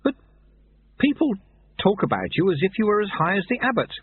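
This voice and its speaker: Man playing a young male novice monk, nervous, eager, lively pitch, tinny audio. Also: fairly quick, breathy edge, heavily acted. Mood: anxious. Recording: tinny phone-like sound.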